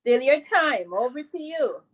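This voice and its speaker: Casting: woman, clearly high-pitched, live host of a children's video-call event, giving short directions. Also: lively intonation, unhurried, muffled recording. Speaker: host of online children's story time. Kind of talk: live hosting, directing participants.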